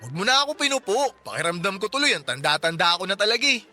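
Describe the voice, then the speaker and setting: A man's voice: high voice, sing-song, rapid-fire, pushed and bright, soft background music, heavily acted. An actor in his twenties playing a friendly, chatty working-class neighbor who jokes and offers help, in a radio drama. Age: 20s. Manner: chatty, joking, helpful. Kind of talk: Tagalog radio drama acting.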